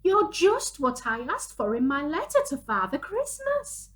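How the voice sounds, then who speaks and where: A woman plays an affectionate little boy from a children's storybook. He is earnest and wide-eyed, with a highish, expressive voice that readily rises into exclamation. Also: measured pace, heavily acted. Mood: delighted, tender.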